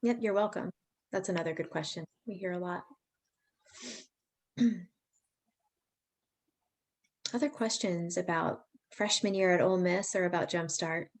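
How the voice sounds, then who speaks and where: A woman's voice: fairly low pitch, fairly even intonation, measured pace, pauses often. A woman hosting a virtual parent information session, talking in a friendly, chatty, reassuring way over a video call. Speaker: pre-college program staff host.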